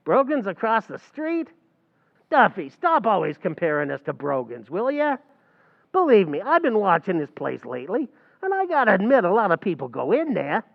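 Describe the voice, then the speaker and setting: A man doing a scheming tavern manager for a radio comedy, with a gravelly, high voice that swoops up and down. He talks with streetwise, mangled grammar. The sound is muffled and rings out in a long hall. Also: huge pitch swings, moderate pace, exaggerated voice. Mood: wheedling. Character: scheming, fast-talking male tavern manager. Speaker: stage actor in a radio play recreation. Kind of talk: old-time radio comedy acting.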